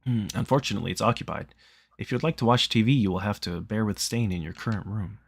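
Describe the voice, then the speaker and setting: A man voicing a polite, apologetic male ski lodge owner in a visual novel, measured and courteous. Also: on the low side, husky.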